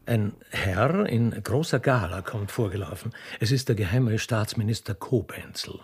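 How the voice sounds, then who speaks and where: A man's voice: fairly low pitch, expressive, moderate pace, husky. A man giving theatrical, literary scripted narration of a comic radio play.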